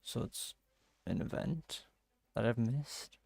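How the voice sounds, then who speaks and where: Gravelly, husky man commenting on a game. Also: medium pitch, lively intonation, a little mellow, no echo. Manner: musing aloud, halting. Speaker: game streamer. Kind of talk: casual gameplay commentary.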